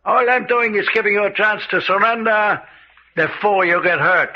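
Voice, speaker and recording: Actor as high-voiced, stern scientist in charge. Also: male voice, normal speed, slight background noise, lightly acted. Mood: firm, urgent. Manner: formal, precise, commanding. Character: calm, stern, principled male doctor leading scientist captors. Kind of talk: old-time radio mystery acting. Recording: muffled old radio recording.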